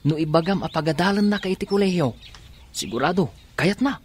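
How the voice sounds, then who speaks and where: A man's voice: fairly high pitch, lively intonation, a little fast, slightly rough. A man acting in a radio drama as a cheeky buddy who teases and coaxes his friend. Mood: coaxing. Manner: teasing, coaxing.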